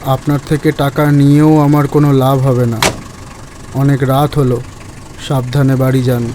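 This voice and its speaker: An actor playing a mysterious middle-aged taxi driver, a father speaking calmly and evasively in an audio ghost story. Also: medium pitch, moderate pace, noisy background, lightly acted. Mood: cryptic, resigned.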